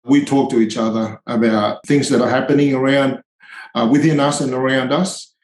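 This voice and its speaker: A man's voice: medium pitch, fairly even intonation, normal speed, a little mellow, roomy sound, low-quality recording. A man in his forties from Tonga, a tradie and charity founder, speaking hesitantly in a podcast interview with many ums and restarts.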